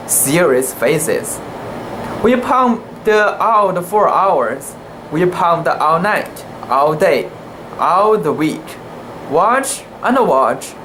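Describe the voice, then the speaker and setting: An amateur narrator of unclear sex giving a dramatic reading of a literary sea story, careful and halting, with imperfect pronunciation. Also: mid-range voice, a bit bright, slight background noise, low-quality recording.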